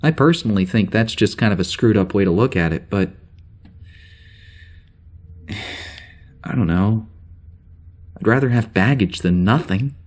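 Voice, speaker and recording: An actor playing a troubled starship officer with amnesia, halting and rambling as he records a log in a naturalistic audio drama. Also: fairly low pitch, lively intonation, darkish tone. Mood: subdued, frustrated. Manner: halting, rambling, self-interrupting.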